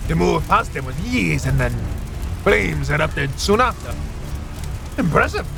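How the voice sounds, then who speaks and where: An actor playing a gruff, blunt male companion who speaks in dry, sardonic quips in an audio drama. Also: fairly high pitch, animated, measured pace. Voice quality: gravelly. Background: music.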